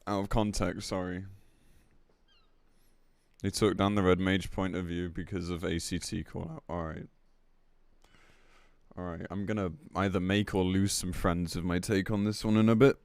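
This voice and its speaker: A man with a fairly low voice talks as a live streamer. His delivery is casual and blunt, with an exasperated edge and loose swearing. The sound is close and dry, with very little room in it. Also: level tone, moderate pace, long pauses, darkish tone, clean tone, no echo. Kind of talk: casual live-stream commentary with read-aloud announcement.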